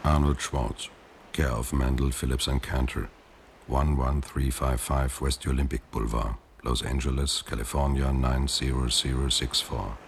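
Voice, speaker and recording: A man acting a proud, washed-up middle-aged actor in a German radio drama, speaking in a measured, deliberate way. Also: a bass voice, fairly even intonation, creaky, a little hiss or hum, heavily acted. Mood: reflective, composed.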